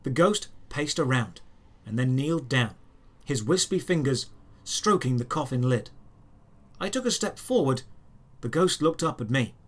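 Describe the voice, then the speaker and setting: A man reading dramatic first-person fantasy narration as a storyteller, measured, with deliberate pauses. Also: mid-range voice, animated. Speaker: writer and narrator.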